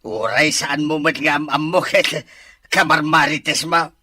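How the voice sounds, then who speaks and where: A man's voice: fairly high pitch, fairly even intonation, unhurried, pushed and bright, slight background noise. A man playing a suspicious, grumbling man of the household in an Ilocano audio drama, accusing, with an Ilocano accent. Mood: suspicious, annoyed.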